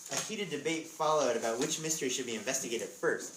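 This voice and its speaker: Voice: mid-range voice, fast. A narrator reading a story aloud in readers theatre style, moving between narration and dialogue. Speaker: author reading own book as narrator. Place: live author visit, indoor room. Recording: roomy, distant mic with clear noise.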